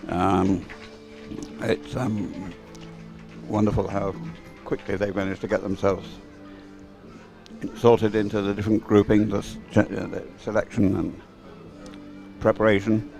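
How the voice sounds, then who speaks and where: A man's voice: lowish voice, level tone, a little slow, creaky, long pauses, over music, low-quality recording. A man giving casual, unscripted live sports commentary in a hesitant, musing way.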